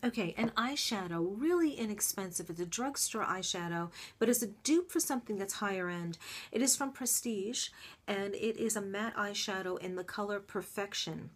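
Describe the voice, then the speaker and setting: A woman's voice: medium pitch, normal speed, breathy edge. A middle-aged woman vlogger, congested, chatting casually through her favorite beauty products with lots of gushing and little ums. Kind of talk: casual favorites review to camera.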